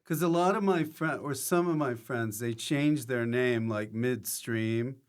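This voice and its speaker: A man's voice: medium pitch, measured pace. A man hosting a casual interview podcast, droll and given to playful asides. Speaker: podcast host and improviser.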